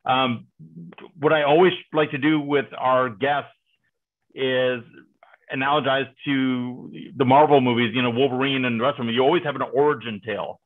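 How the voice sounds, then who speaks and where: A man's voice: medium pitch, steady tone, moderate pace. A middle-aged man hosting a video call interview, chatty and playful with many fillers. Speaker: host. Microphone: headset on video call. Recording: tinny phone-like video call line.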